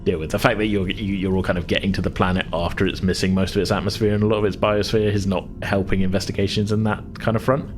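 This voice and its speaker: A man with a low voice runs a tabletop roleplaying game as game master. He explains in a chatty, halting, improvised way, with clear music playing behind him. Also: level tone. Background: background music.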